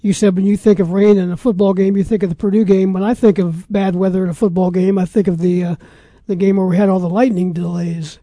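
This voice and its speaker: A man's voice: high-pitched, flat delivery, fast talker, warm tone, dry recording. An American man hosting a sports radio show, chatting casually about football. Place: radio studio.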